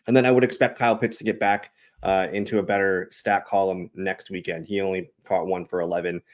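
A man with a fairly low voice hosts a fantasy football show. He runs through statistics and gives his analysis casually. His delivery is conversational and matter-of-fact, like a solo livestreamer talking to viewers. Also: fairly even intonation, a little fast. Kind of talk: casual sports analysis, reading out stats.

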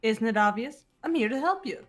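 A woman voicing a serious, guarded teenage girl detective in a visual novel game, cool and measured. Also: fairly high pitch, animated, faint music behind. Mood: cool, composed.

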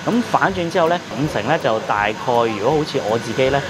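A man's voice: medium pitch, animated, fast, crowd noise, low-quality recording. A middle-aged man with a Hong Kong Cantonese accent, giving a chatty, explanatory food review in a casual vlog style. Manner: chatty, explanatory, frequent sentence particles. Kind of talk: casual restaurant review narration.

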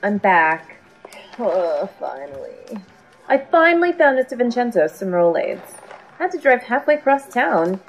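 Woman playing an excitable high-voiced young intern. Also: a little slow, dull audio, faint music behind. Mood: breezy, exasperated. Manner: hesitant, self-correcting, emphatic. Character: young female intern, talkative, excitable, easily flustered. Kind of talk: audio drama acting.

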